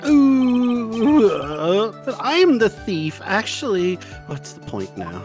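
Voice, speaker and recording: Woman as timid young man, game fan dub, gloomy, stammering, high-pitched, slow. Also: wildly swooping pitch, darkish tone, faint music behind, heavily acted. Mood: timid, miserable. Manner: hesitant, stammering. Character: gloomy, timid young man who insists he's a thief.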